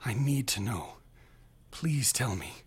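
An actor playing an obsessed young student haunted by nightmares, in an intense, florid radio drama style. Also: male voice, mid-range voice, animated, slow pace, husky, heavily acted. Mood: anxious, guarded.

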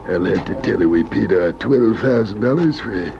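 An actor playing a discouraged, humble old gold miner in a radio drama, speaking hesitantly in plain folksy words. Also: male voice, fairly low pitch, lively intonation, normal speed, vocal fry, a little hiss or hum. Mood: dejected. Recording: muffled old radio recording, background crowd.

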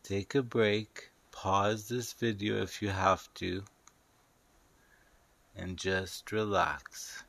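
A man's voice: on the low side, steady tone, very slow, creaky, fairly bright tone. A man narrating a guided meditation in a soothing, instructive, hypnotic manner, repeating short phrases.

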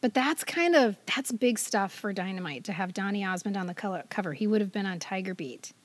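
A woman's voice: mid-range voice, animated, fairly quick, no echo. An American woman in her fifties co-hosting a podcast, telling stories in a casual, chatty style. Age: 50s. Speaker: podcast co-host. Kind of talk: casual podcast chat and storytelling.

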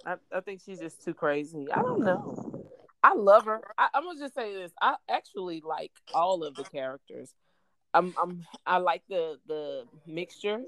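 Podcast co-host, woman, very lively intonation, playful and jokey. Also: on the low side, normal speed, slight background noise, poor audio. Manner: animated, joking, laughs often. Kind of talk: casual chat.

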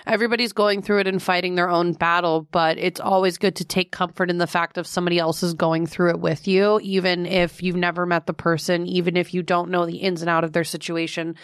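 A young woman co-hosting a podcast in a studio, chatting casually and getting animated, her pitch jumping up when she gets worked up. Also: a little fast, hardly any pauses, clear, resonant tone, no room sound. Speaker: podcast co-host. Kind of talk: casual chat.

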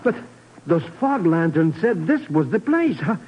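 An actor playing a jittery, fearful, complaining comic sidekick in a 1950s radio drama, stammering and exclaiming indignantly. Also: male voice, fairly high pitch, very animated, measured pace. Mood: shaken disbelief. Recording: dull, noisy old radio recording.

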